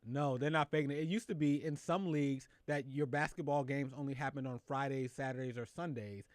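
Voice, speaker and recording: A man hosting a casual chat show about news and culture, animated, laughing often and stumbling over his words when excited. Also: mid-range voice, fairly quick, no echo. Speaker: show host.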